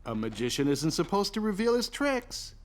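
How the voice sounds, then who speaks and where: A man's voice: high-pitched, very animated, normal speed. A man playing a playful, kindly cartoon grandpa with a theatrical, teasing manner. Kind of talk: preschool cartoon acting.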